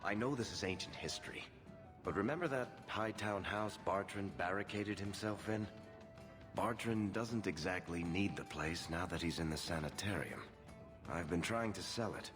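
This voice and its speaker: Guy voicing wry roguish dwarf dealer, low voice, casual, fantasy game, music and long reverb. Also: normal speed, close to an everyday voice. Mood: wry, uneasy. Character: roguish, easygoing middle-aged male dwarf storyteller and wheeler-dealer. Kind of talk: cinematic fantasy video game acting.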